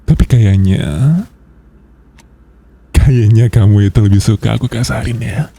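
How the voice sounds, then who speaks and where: A man playing a possessive, menacing young boss boyfriend in an intimate ASMR roleplay, murmuring with coaxing, controlling softness. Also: fairly low pitch. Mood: teasing, possessive. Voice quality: breathy, husky murmur. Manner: slow, coaxing, controlling, with soft threats.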